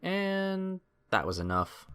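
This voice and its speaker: A man's voice: high-pitched, big pitch swings, takes it slowly, fairly bright tone, smooth. A man narrating a scripted adventure story in a dramatic storytelling style.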